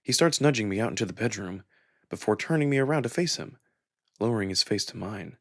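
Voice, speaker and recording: A man performing dramatic first-person narration of a visual novel in an intimate, expressive storytelling manner. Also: lowish voice, fast, no echo, studio quality.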